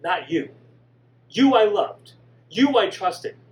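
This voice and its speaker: A man voicing a remorseful young son who pleads softly in a lowered, quiet voice. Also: big pitch swings, slow, highs cut off, exaggerated voice. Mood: pleading. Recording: distant mic with faint hum.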